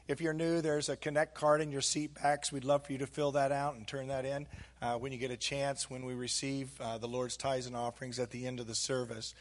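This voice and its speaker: A hoarse man speaking as a pastor, giving casual church announcements with frequent fillers. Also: a little high, a bit bright. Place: church sanctuary.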